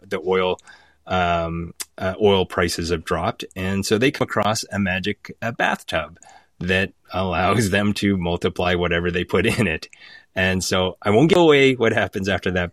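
A Canadian man with a deep voice, recorded dry with no room around it. He talks like a writer in an interview, explaining things in a conversational, storytelling way and checking in with the listener as he goes. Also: a bit bright, no echo. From Alberta, Canada. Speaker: guest writer of audio drama.

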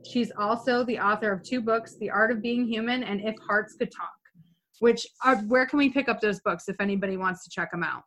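A woman's voice: medium pitch, brisk, a bit bright, rough recording. A woman hosting a podcast interview, chatty and upbeat, in her thirties. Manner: chatty, upbeat, restarts words. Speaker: podcast host.

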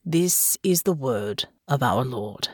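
A woman voicing a grave, stately male bishop who intones slowly and ceremonially in an audiobook. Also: rumbling low, lively intonation, breathy edge, no echo, studio quality, pitched below the performer's own voice, heavily acted. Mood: solemn, reading scripture. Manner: slow, intoning, ceremonial delivery. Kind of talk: liturgical recitation in an audiobook.

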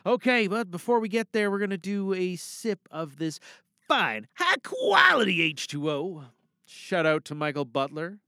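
Bright, creaky-voiced man hosting casually. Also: sits high, very animated, a little slow, no echo, clean studio sound. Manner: jokey, rambling. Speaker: podcast host. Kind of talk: casual, chatty show introduction.

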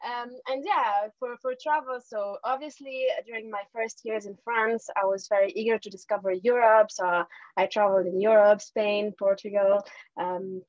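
A woman's voice: medium pitch, steady tone, moderate pace, a little mellow, no echo, dull audio. A young woman from Tunisia, a lawyer guest, chatting casually in an interview and laughing often.

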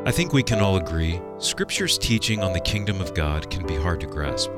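A man's voice: deep voice, very animated, normal speed, over loud music. A man working as a radio announcer, giving a scripted promotional read.

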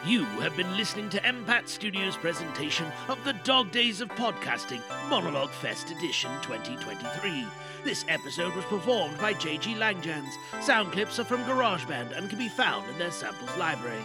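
A man's voice: high-pitched, big pitch swings, normal speed, few pauses, loud music behind the voice. A man hosting an audio theater podcast, addressing listeners in a sign-off style. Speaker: podcast host and performer. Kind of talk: podcast sign-off, casual then scripted credits.

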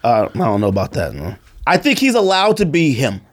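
A man co-hosting a sports podcast, arguing casually in an animated, slangy way. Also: medium pitch, fairly quick. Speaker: sports and sneaker podcast co-host.

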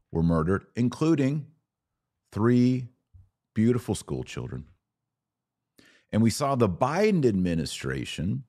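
A man hosting a podcast, giving political and religious commentary in a deliberate way with emphatic pauses. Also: on the low side, darkish tone. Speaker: podcast host.

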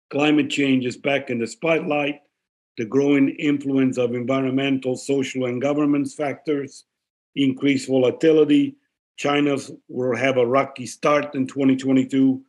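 A man on a panel briskly reading through a list of predictions, then summing them up. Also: medium pitch, a little mellow. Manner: brisk, reads items off a list.

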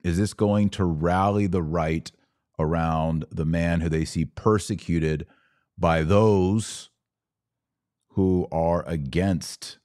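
American man, podcast host fielding live comments; very low voice, dry close-mic sound, conversational and questioning. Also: fairly even intonation, slow pace, darkish tone, dry recording. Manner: conversational, poses rhetorical questions, thinks aloud. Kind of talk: live commentary, reading and answering viewer comments.

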